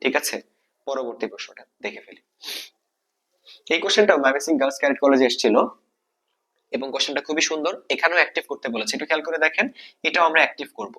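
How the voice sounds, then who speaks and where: A man's voice: a little high, fast talker, long pauses, sharp tone. A man with a Bangladeshi accent teaching a grammar lesson step by step, asking questions, repeating key points and mixing in English terms. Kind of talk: exam-prep grammar lesson, explaining step by step.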